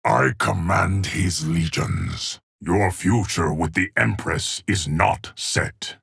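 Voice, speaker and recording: A man playing a stern, ancient keeper of time in a fighting video game, with a gravelly, husky voice and a formal, portentous delivery. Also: low-pitched, takes it slowly, a bit bright, no echo, clean studio sound, heavily acted. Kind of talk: dramatic fighting game character lines.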